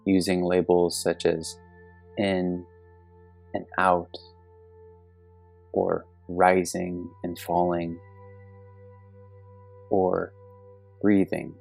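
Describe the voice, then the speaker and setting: A man with a very low, slightly creaky voice guides a meditation in a calm, gentle manner. He gives measured instructions with long pauses between phrases, over soft background music. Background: soft meditation music with singing bowl tones.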